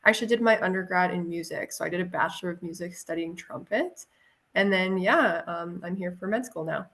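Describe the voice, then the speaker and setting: A woman's voice: lowish voice, fairly quick, fairly bright tone. A young woman from Saskatchewan, Canada, a medical student, casually introducing herself. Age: young adult. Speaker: first-year medical student. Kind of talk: informal self-introduction.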